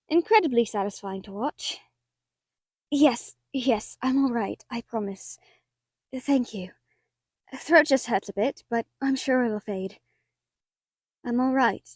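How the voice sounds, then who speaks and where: A woman playing a wry, affectionate young merchant in a fantasy audio drama, gently teasing and a little hesitant. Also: on the high side, lively intonation, slow pace, long pauses, no room sound, studio quality. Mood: relieved, reassuring.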